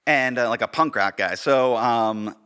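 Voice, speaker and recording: An American man chatting casually and telling stories in an animated, self-deprecating way, often acting out bits of dialogue. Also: medium pitch, measured pace, clean tone. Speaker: podcast guest and theater director. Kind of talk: casual conversational storytelling.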